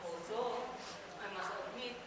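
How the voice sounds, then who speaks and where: A woman's voice: medium pitch, a little slow, lightly acted. A woman acting a blunt, cheeky senior in a stage play, with a Filipino accent and a theatrical, scolding manner. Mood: teasing. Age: older. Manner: theatrical, scolding, switching between Tagalog and English. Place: community hall stage. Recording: echoey hall, far mic, noisy.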